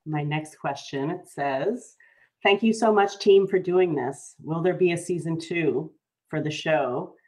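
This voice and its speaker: A woman's voice: low-pitched, unhurried, dark tone. A woman moderating a video call panel, reading audience questions in an upbeat way.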